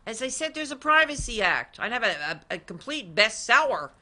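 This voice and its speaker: A woman's voice: a little high, big pitch swings, moderate pace, bright, forceful tone. Emphatic woman hosting a talk show. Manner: emphatic, storytelling, repeats key points. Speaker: talk show host and credit advisor. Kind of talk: direct-to-camera talk show.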